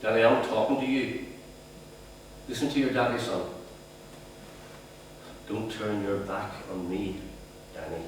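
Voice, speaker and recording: A man's voice: mid-range voice, flat delivery, moderate pace, pauses often, natural voice. A man doing a raging father. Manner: harsh, bullying barks. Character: bitter, raging working-class father. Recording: very echoey room, distant mic, faint hum.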